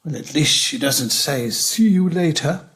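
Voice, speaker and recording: An older man playing a lonely, wry retired teacher near eighty in a care home, in a reflective, measured dramatic monologue. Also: fairly high pitch, lively intonation, a bit bright. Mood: mimicking others.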